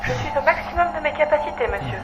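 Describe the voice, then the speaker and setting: A woman plays a female machine assistant in an audio drama, quick and precise. She sounds formal and obedient, through a dull radio-like filter with music behind. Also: sits high, animated. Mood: formal, concerned.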